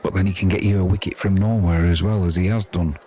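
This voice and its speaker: Older british bloke doing cricket commentary, low voice, chatty and rambly, bit of crowd in the back. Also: male voice, steady tone, quick pace, mellow. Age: 50s or older. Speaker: sports commentator. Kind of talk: casual ball-by-ball cricket commentary. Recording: crowd noise behind the voice.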